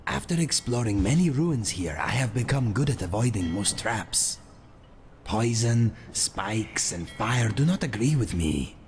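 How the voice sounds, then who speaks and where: Game role: male actor as earnest cat-folk companion, formal diction, expressive melody, faint ambience. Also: lowish voice, measured pace, a little hiss or hum. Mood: earnest, polite. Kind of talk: video game companion dialogue.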